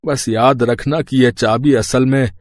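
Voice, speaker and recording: A man's voice: mid-range voice, fairly even intonation, measured pace, no room sound, natural voice. A man playing an ailing elderly father in a scripted story, reading out his farewell words. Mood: tender, solemn.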